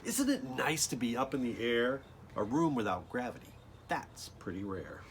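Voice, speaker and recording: A man reads a storybook aloud for children with very lively, swooping intonation. He often drops into casual asides and speaks directly to his young listeners. He is outdoors, with light background noise behind him. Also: medium pitch, moderate pace. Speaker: children's author reading own picture book aloud.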